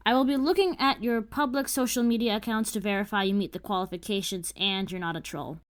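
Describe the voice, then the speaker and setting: A woman's voice: mid-range voice, a little fast. Woman reading a scripted casting call. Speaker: creator of an animated series giving a casting call. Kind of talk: scripted narration of audition instructions and character descriptions.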